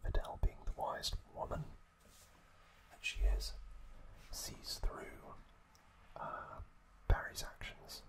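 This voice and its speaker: Whispering man, slow ASMR delivery. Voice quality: breathy unvoiced whisper. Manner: slow, hushed, halting with long pauses. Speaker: ASMR host. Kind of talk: whispered ASMR episode recap.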